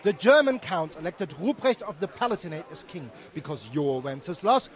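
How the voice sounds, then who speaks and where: A man's voice: fairly high pitch, expressive, moderate pace. A man playing a stubborn, self-righteous German townsman arguing emphatically in a video game cutscene. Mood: indignant. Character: stubborn, indignant German townsman, a pro-Sigismund villager.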